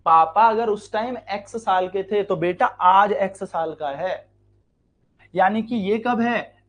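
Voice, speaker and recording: A man's voice: high voice, slow, fairly bright tone. A man with an Indian accent teaching an exam-prep maths lesson, explaining step by step and asking his students questions he then answers himself. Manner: repeats key lines and stretches words out to stress them.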